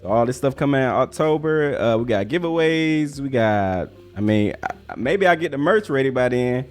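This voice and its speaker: Male interviewer, average pitch, relaxed slangy conversational delivery, faint music bed. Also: moderate pace. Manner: laid-back, slangy. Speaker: interviewer, host. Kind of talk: casual chat.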